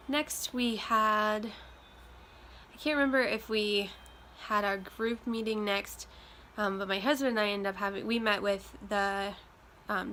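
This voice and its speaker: A young woman talks casually at home, her voice a little nasal from a lingering chest cold. She rambles with frequent ums, unhurried and conversational. Faint road traffic and wind chimes drift in through open windows. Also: medium pitch, bright, forceful tone. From Iowa. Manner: chatty, many ums, loose and rambling. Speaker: vlogger. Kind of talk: casual talk to camera.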